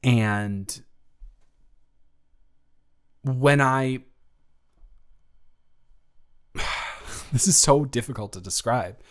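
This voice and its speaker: A man performs a young male college student with a mid-pitched voice, nervous and earnest about his feelings. He rambles and trips over words, restarting often. The style is an intimate, improvised audio drama between close friends. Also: drawn-out words. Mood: hesitant, tender.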